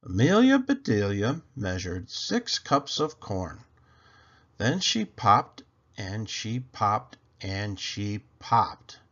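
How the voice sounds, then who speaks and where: A man reading a children's picture book aloud as an expressive narrator. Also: on the low side, slow, dull audio.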